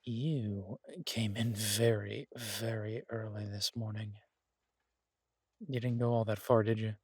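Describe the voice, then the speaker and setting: A man playing an easygoing, affectionate chef in an intimate boyfriend roleplay audio, talking in a husky, halting, teasing way to a partner. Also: on the low side, flat delivery, dry recording, heavily acted. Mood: tender, teasing. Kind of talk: intimate boyfriend roleplay audio, casual one-sided chat.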